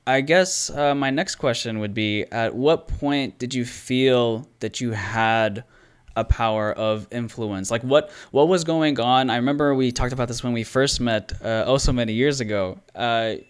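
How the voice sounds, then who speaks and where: A man's voice: medium pitch, clean tone. A man hosting an interview, asking long, chatty questions and encouraging his guest. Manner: friendly, affirming, chatty questions. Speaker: podcast host, interviewer. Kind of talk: casual interview.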